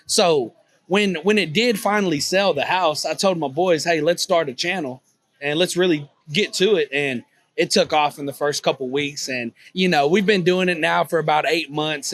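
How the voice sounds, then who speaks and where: A man's voice: fairly high pitch, a bit bright. Easygoing young Texan man. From Texas, USA. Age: 20s. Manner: easygoing, leans on "you know" fillers. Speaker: guest, poker vlogger. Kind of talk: casual interview chat. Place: on a cruise ship.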